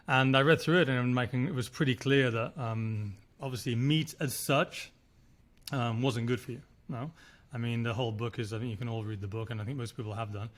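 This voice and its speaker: A man, a resort founder telling his story in a rambling, casual way. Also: mid-range voice. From Spain. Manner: rambling, many restarts and filler words. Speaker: resort founder, podcast guest.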